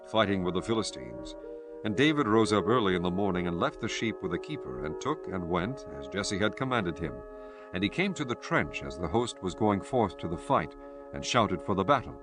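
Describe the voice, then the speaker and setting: A man giving a solemn, measured dramatized narration of scripture as a storyteller. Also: low-pitched, sing-song, over music.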